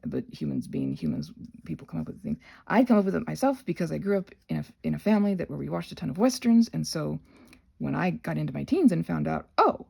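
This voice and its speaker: Gravelly, low-voiced woman rambling while sick. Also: big pitch swings, a little mellow. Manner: rambling, halting, self-correcting with many trailing restarts. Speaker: host of a rambling audio channel. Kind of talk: casual unscripted ramble.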